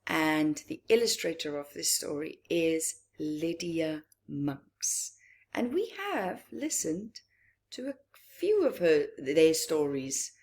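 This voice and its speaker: A woman reading a picture book aloud to children, deliberate and expressive, with long pauses and small asides. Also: deep voice. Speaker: children's storytime reader.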